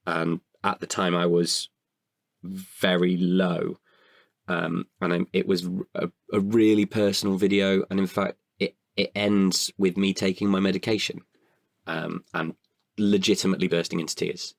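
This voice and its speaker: A man with a creaky voice in a candid podcast conversation, speaking hesitantly and often correcting himself. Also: low-pitched, flat delivery, dry recording. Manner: hesitant, halting with self-corrections. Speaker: writer-performer guest.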